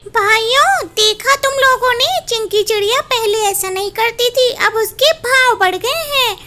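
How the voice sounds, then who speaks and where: A woman's voice: extremely high pitch, a little slow, pushed and bright, slight background noise, voice pushed up, full character voice. A woman voicing a fussy, talkative male parrot in a cartoon story, who talks in a chatty, grumbling way. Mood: indignant.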